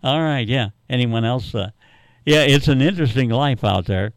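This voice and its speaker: A man hosts a radio call-in show, chatting and reminiscing in a halting, rambling, easygoing way. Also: mid-range voice, smooth. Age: 80s. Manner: rambling, halting, chuckling storyteller. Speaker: radio talk host taking callers. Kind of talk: casual, unscripted reminiscing and chat.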